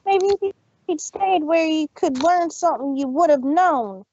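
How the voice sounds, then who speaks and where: Taunting filly, high and slow, a woman acting. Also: warm tone, highs cut off, slight background noise. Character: fierce filly, little sister.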